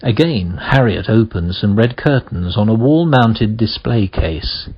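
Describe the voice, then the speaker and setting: A deep, husky man narrates scripted stage directions for a radio drama. The recording is a bit dull and lo-fi. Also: expressive, measured pace.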